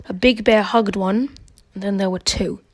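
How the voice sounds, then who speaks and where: A woman's voice: medium pitch, fairly even intonation, moderate pace, no echo, close to an everyday voice. Blunt, dogged crooked cop played by a woman, close-sounding. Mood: pondering. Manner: blunt, insistent. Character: blunt, suspicious, dogged crooked male policeman. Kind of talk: amateur radio play acting.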